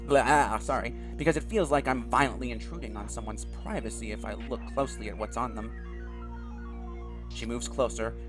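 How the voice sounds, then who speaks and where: A video host reading a visual novel aloud and commenting on it in a dramatic, expressive manner. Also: medium pitch, normal speed, music in the background.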